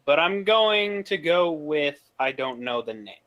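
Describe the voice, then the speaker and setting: A man's voice: a little high, expressive, measured pace, a bit bright. A man on a podcast panel chatting casually and giving his opinions. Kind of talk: casual chat, opinionated commentary.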